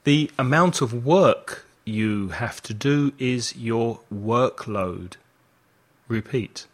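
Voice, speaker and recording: Slow-paced male English language teacher. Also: medium pitch, a bit bright. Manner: didactic, deliberately enunciated. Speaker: English teacher and podcast host. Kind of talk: language lesson with slow, repeat-after-me delivery.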